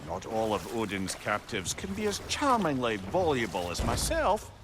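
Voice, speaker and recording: An actor playing a witty, learned older advisor with a Scottish accent in a video game, wry and eloquent. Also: male voice, medium pitch, huge pitch swings, moderate pace, noisy background. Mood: wry, chatty.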